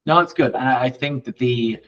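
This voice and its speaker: A man's voice: mid-range voice, a little fast. A man hosting a conversational real estate interview, speaking in a rambling, agreeable way. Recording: distant, dull lo-fi microphone.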